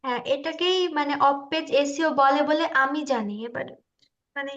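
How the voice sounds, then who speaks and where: A woman's voice: normal speed, fairly bright tone. A woman giving an informal online tutorial in a hesitant, self-deprecating way, sounding nervous. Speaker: student presenter demonstrating video upload. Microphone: online video call. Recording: muffled low-fidelity online meeting audio.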